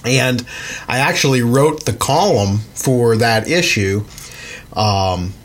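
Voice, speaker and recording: A man's voice: mid-range voice, slow pace. Man, middle-aged, stuffy nose from a summer cold, casual podcast host, rambling and chatty. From Pennsylvania, USA. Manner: rambling, chatty, with many fillers. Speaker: podcast host and magazine editor.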